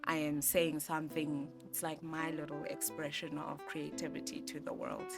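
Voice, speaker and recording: A South African woman in her twenties, talking in a soft, reflective way with many fillers and restarts during an interview. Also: low voice, little pitch movement, normal speed, creaky. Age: 20s. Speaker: interviewee, graphic designer. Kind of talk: relaxed personal interview. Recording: music bed playing behind voice.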